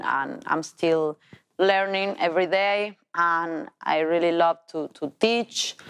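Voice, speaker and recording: A woman in her thirties with an Argentine accent, a Spanish speaker telling her story as a tango teacher in halting English with many restarts. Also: lowish voice, fairly bright tone, smooth. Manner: non-native English, halting restarts, laughs while telling. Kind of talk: personal storytelling.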